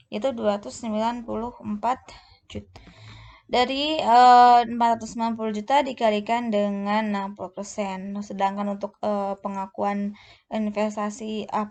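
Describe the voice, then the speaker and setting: A woman teaching a lesson as a tutor, explaining step by step in a halting way and often correcting herself. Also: a little high, gravelly, darkish tone. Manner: halting, often searches for words and self-corrects. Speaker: accounting tutor. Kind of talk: explanatory lesson walking through tables.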